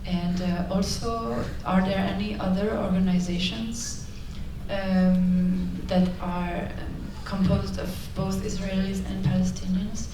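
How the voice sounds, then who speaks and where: A woman's voice: mid-range voice, fairly even intonation, takes it slowly. A woman asking a question from the audience in a hesitant way, with many ums. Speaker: audience member. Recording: echoey hall, distant mic, noisy.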